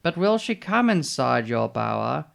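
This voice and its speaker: Man putting on a slow, drawling sly bird. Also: mid-range voice, big pitch swings, vocal fry, a bit bright, exaggerated voice. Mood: condescending. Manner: drawling, deliberate, condescending. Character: sly, slow-talking male bird offering false kindness.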